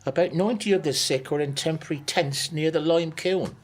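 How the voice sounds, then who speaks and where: An actor playing a 1850s harbour master giving a formal, halting report to the governor in a radio play. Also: male voice, fairly high pitch, lightly acted. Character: colonial harbour master reporting to governor, middle-aged man. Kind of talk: amateur radio play acting.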